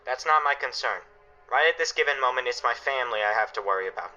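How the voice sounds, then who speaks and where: An actor playing a stern, anguished father guarding his family, speaking gravely and emphatically in a radio play. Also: mid-range voice, lively intonation, fast, sharp tone, muffled recording, soft background music. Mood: firm, curt. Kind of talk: dramatic radio play acting.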